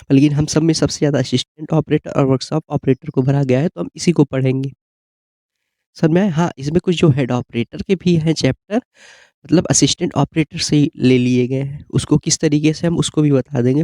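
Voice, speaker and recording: A man with a fairly high, slightly mellow-dark voice explains study material as an exam coach. He talks informally and keeps adding short check-in questions. The sound is very dry, with no room echo. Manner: repeated check-in tags like "okay?". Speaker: exam-prep teacher.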